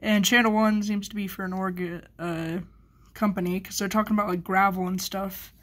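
A woman's voice: medium pitch, steady tone, normal speed. Casual woman rambling through a hobby vlog. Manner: informal, rambling, thinking aloud. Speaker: hobbyist video maker.

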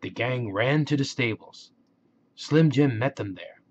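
A man's voice: mid-range voice, a little slow, dull audio. A man narrating a children's storybook aloud.

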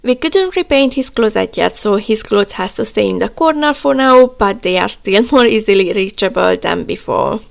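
Woman with a gravelly voice-over. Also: medium pitch, normal speed, few pauses, faint music behind. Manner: calm, slightly non-native grammar. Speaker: vlogger. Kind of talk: voice-over narration.

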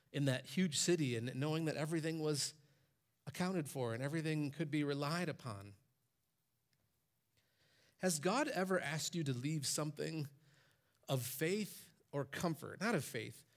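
Man, 40s, pastor, sermon, animated preaching, church stage, headset mic, slightly high voice. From Michigan, USA. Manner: animated preaching, voice rising for quoted commands.

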